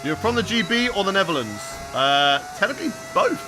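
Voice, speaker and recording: A man's voice: high-pitched, sing-song, a little fast, background noise. A young man casually chatting with viewers while he plays a racing game on a live stream.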